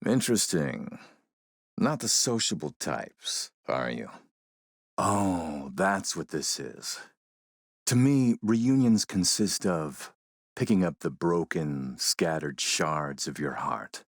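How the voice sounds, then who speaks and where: A man playing a suave video game warlord, coolly polite and mocking, with a measured, sardonic menace. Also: deep voice, expressive, no echo, clean studio sound, exaggerated voice. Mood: mocking, controlled. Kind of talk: video game villain acting.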